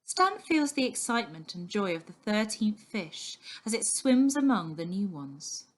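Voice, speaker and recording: A woman giving an expressive, dramatic reading of a children's novel as storyteller. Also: mid-range voice, unhurried.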